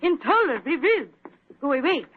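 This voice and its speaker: A woman's voice: high-pitched, very animated, a little fast. An actress playing a hostile, imperious Swedish woman sculptor, curt and indignant, in 1940s radio drama style. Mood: outraged. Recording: noisy, muffled, crackly 1940s radio recording.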